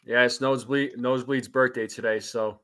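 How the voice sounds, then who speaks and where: Podcast host dude shouting out the chat, crisp bright voice. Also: male voice, medium pitch, fairly even intonation, normal speed. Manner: upbeat, reads out chat greetings. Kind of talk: casual livestream banter.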